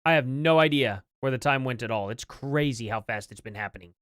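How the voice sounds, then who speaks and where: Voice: medium pitch, a little fast, fairly bright tone, clear, resonant tone, dry recording, studio quality. An American livestream host chatting casually and informally to viewers, rambling along and reacting to things. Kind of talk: casual livestream chat, reacting to clips and news.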